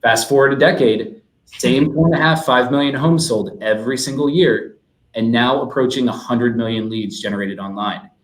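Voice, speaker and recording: A man's voice: medium pitch, normal speed. A man speaking as a guest in a webinar, explaining things in a businesslike way. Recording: roomy, echoey sound.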